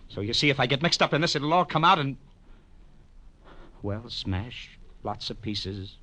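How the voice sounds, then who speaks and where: A man playing a nervous, pleading accountant, earnest and halting, in 1940s radio drama style. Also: medium pitch, lively intonation, sharp tone. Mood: anxious, pleading. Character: anxious, pleading male accountant in serious trouble.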